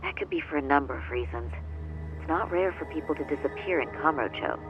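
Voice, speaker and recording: A young woman attorney in a dubbed crime game. She is poised and assertive, choosing formal words and delivering them firmly and earnestly over clearly audible music. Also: deep voice, quick pace, muffled recording. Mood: measured, businesslike. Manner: articulate, formal, firm. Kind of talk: English dub of a crime drama game.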